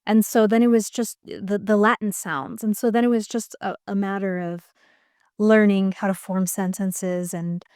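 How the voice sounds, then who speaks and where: Casting: a reflective woman guest on an interview podcast, smooth clear voice, dry close mic. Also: mid-range voice, steady tone, normal speed, darkish tone, no room sound. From United States. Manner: thoughtful, hesitant, many restarts.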